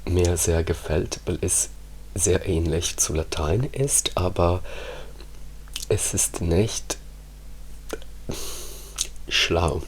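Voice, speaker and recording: A man with a very low voice whose first language is Italian speaks in a hushed, soft-spoken ASMR ramble. He talks very slowly and often drops into whispers, pausing and restarting his words. A faint hum sits behind him. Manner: slow, hushed, drifting into whispers, halting restarts. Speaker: ASMR creator.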